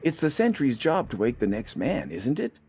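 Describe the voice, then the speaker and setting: A man's voice: mid-range voice, wildly swooping pitch, normal speed, warm tone, breathy edge, voice pushed up, full character voice. A man voicing an anxious, easily panicked young soldier in an audio story, nervous and strained. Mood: squeaky, defensive.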